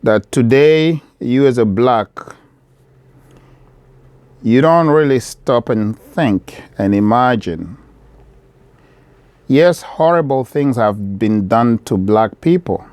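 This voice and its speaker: A middle-aged man from the Democratic Republic of Congo, speaking straight to camera from his shop in an earnest, measured appeal that repeats key phrases. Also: mid-range voice, lively intonation, a little mellow, dry recording. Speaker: vlogger, business owner.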